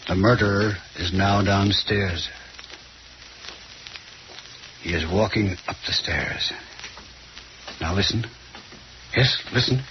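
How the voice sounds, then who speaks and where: An actor playing a confident, condescending detective who lectures and teases in an old-time radio mystery. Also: male voice, fairly low pitch, a little slow, pauses often, close to an everyday voice. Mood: tense, commanding. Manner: dramatic, lecturing, teasing. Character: confident, theatrical male detective, shrewd and condescending. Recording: muffled, noisy old radio recording.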